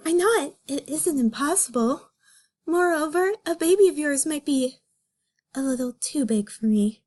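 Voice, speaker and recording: Woman acting a high, anguished young female rabbit. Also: expressive, normal speed, darkish tone. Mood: anxious explaining. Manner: melodramatic, pleading. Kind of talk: amateur dramatic comic reading.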